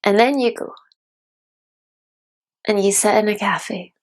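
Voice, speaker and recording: A woman playing a disillusioned young dreamer in a solo dramatic monologue, reflective and deliberate, in short broken phrases. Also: mid-range voice, lightly acted. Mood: wistful.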